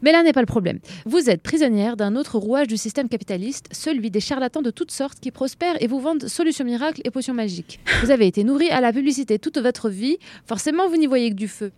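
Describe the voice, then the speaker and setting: An actress playing a sarcastic, idealistic young anticapitalist country doctor who lectures in a sardonic way. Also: female voice, fairly high pitch, expressive, fast, few pauses, a little mellow, no room sound, natural voice. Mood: preachy. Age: young adult. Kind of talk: audio fiction acting.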